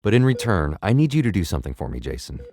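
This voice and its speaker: An actor playing a worried but firm middle-aged father in an audio drama, measured and a little hesitant. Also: low voice, very animated, a little mellow, slight background noise. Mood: firm bargaining.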